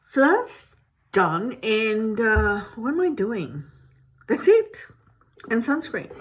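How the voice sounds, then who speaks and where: A woman's voice: on the high side, very animated, takes it slowly, breathy edge. A woman who grew up in Malaysia, chatting to camera at home in a rambling, casual way with many restarts. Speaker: beauty vlogger. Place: home, indoors.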